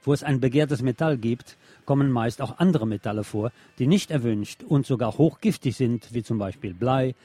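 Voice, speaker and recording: Mellow, slightly husky man reading aloud. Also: mid-range voice, moderate pace, hardly any pauses, no room sound. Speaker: radio presenter. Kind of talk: reading press articles aloud.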